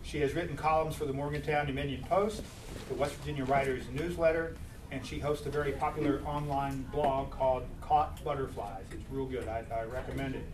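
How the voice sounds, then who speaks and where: Man, event host, informal introduction, echoey room, clear background noise. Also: mid-range voice, recorded from a distance. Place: indoor live reading event.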